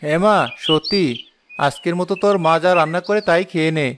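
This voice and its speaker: A man playing a patient, henpecked village husband and gentle father in a cartoon drama, mild, placating and apologetic. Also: on the high side, steady tone, a little fast, a little hiss or hum, lightly acted. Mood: soothing. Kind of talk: Bengali cartoon drama acting.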